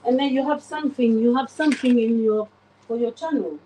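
A woman's voice: on the high side, a little fast, background noise. Chatty woman in her forties, livestreaming. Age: 40s. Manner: chatty, warmly greets viewers by name. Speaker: livestreamer cleaning her oven. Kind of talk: casual live commentary while cleaning. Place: home kitchen, indoors. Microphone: phone camera pointed at the oven.

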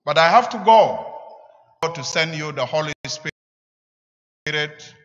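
A middle-aged man with a South Sudanese accent preaches a sermon deliberately, in short emphatic phrases, at a church lectern. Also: on the high side, lively intonation, fairly bright tone. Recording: echoing church, slightly dull sound.

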